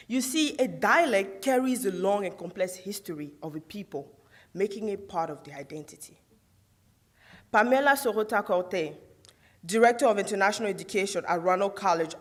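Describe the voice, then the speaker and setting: A young woman from Côte d'Ivoire whose first language is Guro, giving a prepared competition speech on a theatre stage and putting questions to the audience. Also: medium pitch. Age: young adult. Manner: rhetorical, asks the audience questions. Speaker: student orator.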